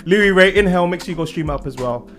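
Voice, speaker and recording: Man interviewing over clear background music. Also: high voice, animated, racing. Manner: informal, slangy, affirming. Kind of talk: casual interview chat.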